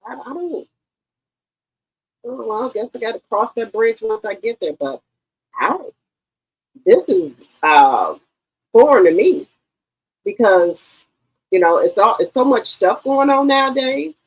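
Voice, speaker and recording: Husky woman in her 50s doing a chatty gossip livestream, voice jumps all over. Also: on the high side, expressive, measured pace, pauses often, highs cut off. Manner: chatty, talks straight to her viewers. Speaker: livestream host.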